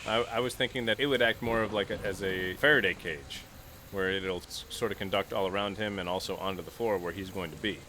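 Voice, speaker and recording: A man talks casually as a player in a tabletop game, working out his plans aloud. His voice is on the low side with a bright, tense edge, and there is faint noise behind him. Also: fairly even intonation, fairly quick. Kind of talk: casual chat, thinking through game moves.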